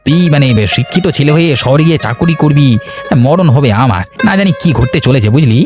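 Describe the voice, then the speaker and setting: A man's voice: a little high, expressive, brisk, close to an everyday voice. A man voicing an anxious, gossipy village farmer in a Bengali cartoon, with a fretful, folksy way of talking. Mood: worried. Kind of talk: Bengali animated moral-tale voice acting. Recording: music bed behind the voice.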